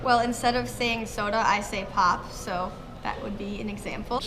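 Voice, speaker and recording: A woman's voice: medium pitch, steady tone, normal speed. A young woman with a Midwestern American accent giving plain, straightforward interview answers. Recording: noisy background.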